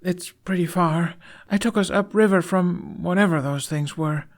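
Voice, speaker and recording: A man's voice: high voice, normal speed, pitched above the performer's own voice. A man voicing an earnest, slightly sheepish young boy in an audiobook story. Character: young boy, eager, sheepish, trusting apprentice of magic. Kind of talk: fan fiction audiobook character voice.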